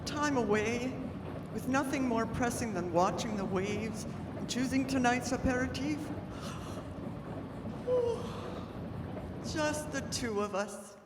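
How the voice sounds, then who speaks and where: A woman's voice: fairly high pitch, slow pace, pauses often. A woman playing a genteel, loving Edwardian wife with refined, formal phrasing in an old-time radio drama. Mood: fond, polite. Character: genteel, loving wife recovering from an ordeal, 1908. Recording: noisy, echoey live stage recording.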